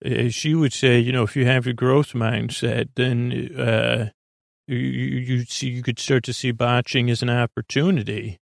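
A man's voice: mid-range voice, little pitch movement, normal speed, gravelly, no echo, studio quality. A man hosting a podcast, drifting through a rambling improvised bedtime monologue full of fillers, restarts and meandering asides. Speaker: podcast host. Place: small studio.

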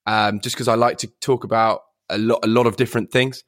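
A man's voice: lowish voice, little pitch movement, a little fast, a bit bright, no echo, studio quality. A man hosting a podcast interview, hesitant, with many fillers and restarts. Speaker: podcast host.

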